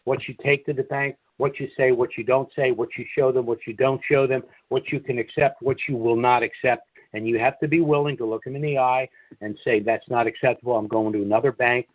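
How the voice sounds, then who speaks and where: A man's voice: medium pitch, steady tone, a little fast, dry recording. A man hosting a conference call, answering callers with informal, instructive advice and repeating his points emphatically. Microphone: conference call line. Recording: dull, lo-fi conference call audio.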